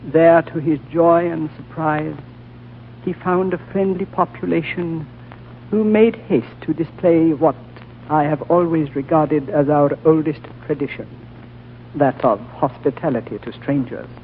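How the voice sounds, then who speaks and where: A serene, ancient High Lama, talking slowly and with courtesy in a gravelly, somewhat high voice. The sound is thin and tinny, like an old radio broadcast under faint hiss. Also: male voice, steady tone. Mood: storytelling. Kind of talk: 1930s radio drama acting.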